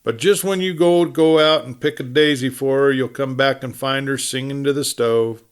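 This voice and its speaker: A man's voice: mid-range voice, fairly even intonation, normal speed, clean tone, close to an everyday voice. A man voicing an opinionated, tough cowboy in a storybook western, lecturing in a folksy American Western drawl. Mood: insistent, emphatic.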